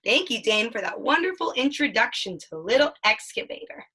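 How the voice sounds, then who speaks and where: Bright-voiced mother reading a picture book aloud. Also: on the high side, big pitch swings, husky. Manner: sing-song storytelling, drawn-out sound words. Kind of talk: children's read-aloud with questions.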